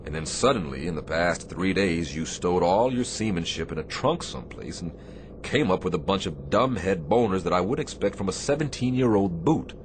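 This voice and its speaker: A stern Navy captain for radio theatre. The voice is low and commanding, with sardonic, deliberate phrasing that shows a demanding officer who still cares. Clear shipboard noise sits behind it. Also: male voice, lively intonation. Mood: firm, concerned. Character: stern, demanding but caring male Navy destroyer captain.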